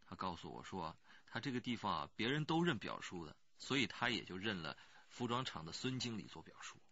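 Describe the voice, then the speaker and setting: A man's voice: low voice, very animated, brisk, bright, forceful tone, no echo, dull audio, exaggerated voice. An actor playing an anxious rural working man who pleads earnestly and hesitantly in a radio crime drama. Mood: cooperative, explaining.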